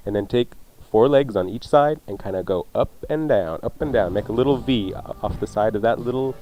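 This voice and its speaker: A man's voice: lowish voice, fairly quick, dark tone, a little hiss or hum. A man co-hosting a radio show in casual, chatty banter, explaining things in a breezy way.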